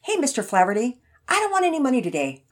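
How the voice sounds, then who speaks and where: A woman's voice: high-pitched, huge pitch swings, fast talker, pitched above the performer's own voice, heavily acted. A woman voicing an eager, kindhearted young boy in a story, polite and earnest. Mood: concerned, earnest. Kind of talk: acted character dialogue in children's story narration.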